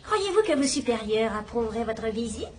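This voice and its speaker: A sharp-minded old spinster detective with a high, lively voice that swoops as she probes gently and wryly. She sounds gentle yet knowing, over a faint hiss. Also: a little fast. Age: older. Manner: gentle, wry, quietly probing. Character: shrewd elderly spinster sleuth, gentle and sharp. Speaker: amateur detective. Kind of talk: dubbed radio-style drama acting.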